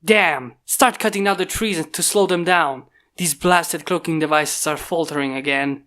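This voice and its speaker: A stern, commanding man, as a story reader plays him, an inventor directing his robot bodyguards. He speaks formally at a medium pitch, in the style of an audio storybook. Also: moderate pace, bright, forceful tone, lightly acted. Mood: urgent. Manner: stern, formal, giving orders.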